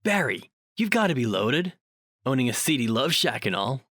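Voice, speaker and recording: A man's voice: mid-range voice, big pitch swings, moderate pace, a bit bright, dry recording, clean studio sound. An actor playing a bossy, wisecracking ghost hunter in an audio drama, flippant and emphatic in his delivery. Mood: sardonic, impatient.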